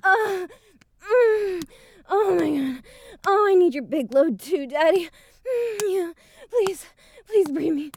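A woman playing an eager adult university student in an intimate audio roleplay, breathy and pleading. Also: very high-pitched, expressive, slow, long pauses, a little mellow, exaggerated voice. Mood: breathless pleading.

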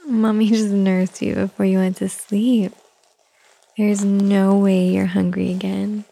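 Woman whispering asmr roleplay, soft and soothing, lots of vocal fry. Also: mid-range voice, level tone, slow pace. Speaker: ASMR performer.

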